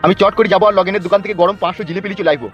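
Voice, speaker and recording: Casting: male actor as wheedling, coarse cartoon husband, very fast delivery, muffled audio with music. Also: high voice, steady tone, close to an everyday voice. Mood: coaxing. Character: bickering, sweet-talking two-timing husband, foul-mouthed. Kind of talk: comic cartoon dialogue. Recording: muffled narrow-band audio over music.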